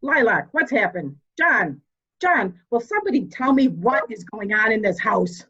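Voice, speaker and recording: A woman's voice: on the high side, big pitch swings, moderate pace, fairly bright tone, slightly rough, highs cut off, full character voice. A woman acting an imperious, fussing lady of a mansion in a murder mystery reading. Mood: indignant, flustered.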